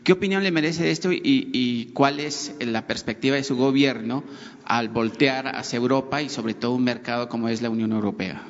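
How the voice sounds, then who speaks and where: A man's voice: medium pitch, normal speed. A man puts a long-winded, stumbling question as a reporter at a press conference. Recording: muffled, reverberant large hall.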